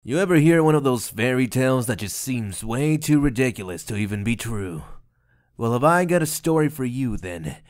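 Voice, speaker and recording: A man's voice: mid-range voice, expressive, moderate pace, natural voice. A man voicing a cocky, sarcastic demon hunter and storyteller in an abridged anime parody. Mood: casual, wry. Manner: brash, sarcastic, swaggering. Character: cocky, irritable demon hunter, half demon, laid-back storyteller.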